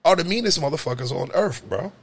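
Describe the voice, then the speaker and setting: A man hosting a podcast, joking crudely and loudly, swinging his voice high when he riffs. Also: animated, quick pace, gravelly, breathy edge. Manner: loud, profane, joking, swings pitch high when riffing. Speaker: podcast host. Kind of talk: crude comedic banter.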